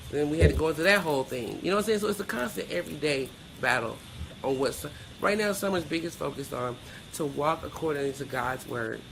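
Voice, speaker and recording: A middle-aged woman with a gravelly, creaky voice speaks candidly in an interview. She is blunt and emphatic, with colloquial phrasing, and there is clear noise behind her. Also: low-pitched, a little fast. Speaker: podcast guest.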